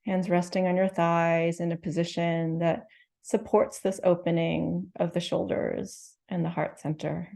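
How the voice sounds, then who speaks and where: Meditation teacher, female, low, warm tone with a soft gentle delivery. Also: level tone, creaky. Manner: slow, gentle, soothing instructions with long pauses. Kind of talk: guided meditation.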